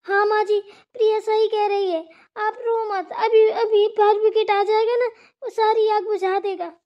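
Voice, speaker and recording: A kind young village daughter-in-law voiced by a performer gently consoles and soothes in a very high voice. The recording sounds dull and lo-fi. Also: flat delivery, a little slow. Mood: consoling.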